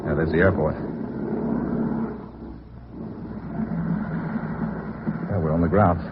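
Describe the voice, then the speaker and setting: A man's voice: sits low, steady tone, very fast, lightly acted. An actor playing a hot-tempered trapeze performer on the run in a radio drama, talking in blunt, slangy tough-guy style. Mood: placating. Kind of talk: old-time radio drama acting. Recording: muffled, noisy old radio recording.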